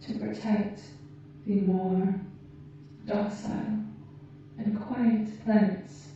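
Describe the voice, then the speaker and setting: Woman acting as gentle alien woman, ASMR roleplay, very mellow tone, measured formal phrasing, caring, distant muffled recording. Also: medium pitch, natural voice. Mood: solemn, calm. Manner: measured, formal, precise phrasing with deliberate pauses. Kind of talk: ASMR roleplay, slow soothing delivery. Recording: muffled, echoey, distant, faint noise.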